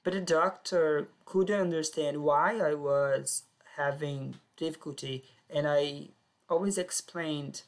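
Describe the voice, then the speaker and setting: A woman telling her own life story in plain, simple sentences with short pauses. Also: sits low. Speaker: personal storyteller. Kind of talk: first-person life story.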